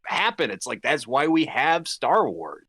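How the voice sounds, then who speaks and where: A man's voice: medium pitch, big pitch swings, measured pace, sharp tone, slightly rough, no room sound, clean studio sound. A man bantering casually about films on a podcast, riffing with mock quotes. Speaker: podcast guest.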